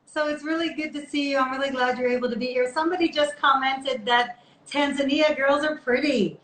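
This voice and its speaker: A woman's voice: sits high, fairly even intonation, fairly quick, a bit bright. A woman hosting a chatty live online interview in a playful, teasing, enthusiastic way. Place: indoor room, online video call. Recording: echoey room with background noise.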